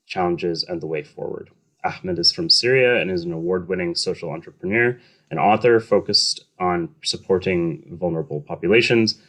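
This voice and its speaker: A man hosting an interview, giving a formal, measured introduction of his guest. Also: low-pitched, a bit bright. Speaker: host and outreach coordinator. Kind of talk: hosted online interview.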